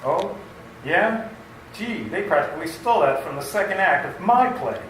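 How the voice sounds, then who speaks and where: A man acting an excitable, talkative policeman and would-be playwright in an amateur radio play. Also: sits high, moderate pace, crowd noise. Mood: eager. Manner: eager, rambling. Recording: distant, very echoey room with noise.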